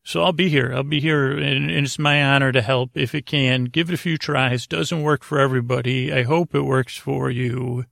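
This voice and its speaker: A man hosting a sleep podcast in a halting, meandering, goofy and self-deprecating monologue, with lulling delivery and a gravelly voice. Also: medium pitch, fairly even intonation, a bit bright, no room sound, studio quality. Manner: meandering, halting, stumbling over words. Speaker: podcast host. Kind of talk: rambling bedtime monologue to help listeners sleep.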